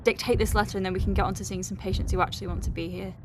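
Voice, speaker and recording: A woman's voice: mid-range voice, level tone, very fast, very noisy, natural voice. A woman playing an exasperated, wry doctor at a space clinic in an audio drama, with a sardonic, conversational delivery. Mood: dry, clipped dictation. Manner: sardonic, conversational, hesitant asides. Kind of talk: audio drama monologue, dictating a letter. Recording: music behind the voice. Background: music.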